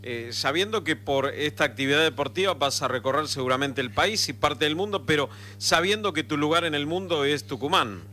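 Bright-toned Argentine male radio interviewer. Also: a little high, animated, fairly quick, hardly any pauses, slight background noise.

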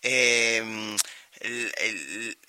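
A man with an Argentine accent interviewing a guest, relaxed, nudging with questions and filler sounds. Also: on the low side, extremely slow, clean tone, no echo. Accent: Argentine Spanish. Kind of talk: casual interview conversation.

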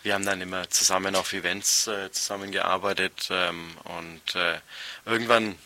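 Man from Nuremberg, studio interview guest, lowish pitch, very bright edgy tone, halting spontaneous storytelling, many filled pauses. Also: little pitch movement. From Nuremberg, Germany. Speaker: interview guest, restaurant co-founder. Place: radio studio.

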